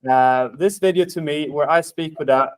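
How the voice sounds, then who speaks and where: A man's voice: fairly high pitch, measured pace, smooth, no echo. A man with a Swedish accent hosting a webinar over a video call, friendly and full of fillers. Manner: friendly, hesitant with many fillers. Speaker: webinar host. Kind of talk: casual webinar chat.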